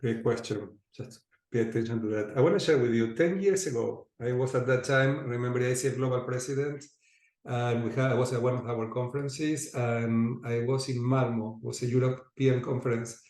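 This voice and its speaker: A man with an Argentine accent, hosting and facilitating an online group session, inviting and lively, often restarting his sentences. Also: mid-range voice, moderate pace, echoey room. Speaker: host and facilitator. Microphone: video call.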